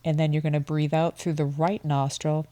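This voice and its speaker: A middle-aged woman co-hosting a wellness podcast, calmly guiding a breathing exercise and often dropping toward a whisper as she counts. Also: low-pitched, normal speed, slight background noise. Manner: soft-spoken, drops toward a whisper while counting. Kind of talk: calm guided breathing instruction.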